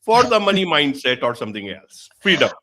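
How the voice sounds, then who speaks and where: A man's voice: a little high, big pitch swings, a little fast, sharp tone. A man hosting an online business show, welcoming his guest formally and asking emphatic questions. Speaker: host. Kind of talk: show host interviewing.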